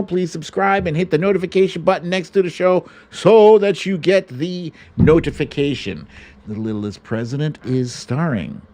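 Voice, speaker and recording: A man hosts a livestream storytime in a very animated voice that swings widely in pitch. He takes his time, stretching out phrases in a mocking storybook manner, then slips into casual chat with his viewers. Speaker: host of a live online storytime show.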